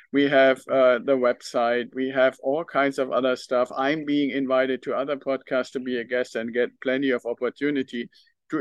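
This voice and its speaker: A man's voice: mid-range voice, dry recording. A middle-aged man from northern Germany with a German accent, hosting a podcast in an earnest, reflective solo talk, thinking aloud with small fillers. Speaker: podcast host.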